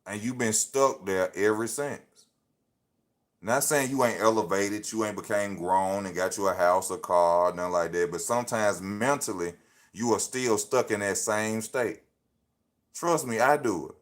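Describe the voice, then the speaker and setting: A man in his thirties talks straight into his phone on a live stream, easy and slangy. His voice sits on the low side, and he drives home each point by saying it twice. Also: measured pace. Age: 30s. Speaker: livestream host. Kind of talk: casual motivational live talk.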